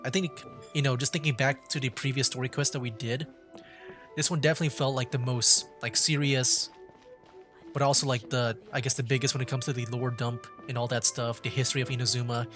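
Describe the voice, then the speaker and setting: Guy streamer just chatting about a game, mid voice, rambly n repeats himself, quiet music behind. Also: mid-range voice, fairly even intonation, a little fast. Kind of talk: casual chat and game commentary. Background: video game music.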